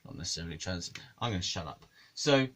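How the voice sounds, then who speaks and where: A man's voice: low-pitched, expressive, fast talker, fairly bright tone. A man, a musician rambling through a comic monologue to camera, swearing and laughing at his own jokes. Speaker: musician and video maker.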